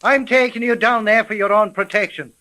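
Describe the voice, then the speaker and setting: An actor playing a proud, stubborn Irish police sergeant in a 1930s radio drama. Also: male voice, sits high, brisk, bright, forceful tone, a little hiss or hum. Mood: gruff, firm.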